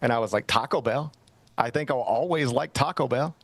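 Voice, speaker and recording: A man's voice: mid-range voice, brisk, no room sound. A middle-aged man hosting a sports talk show, chatting casually and telling a rambling, self-mocking story. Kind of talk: casual radio-style chat.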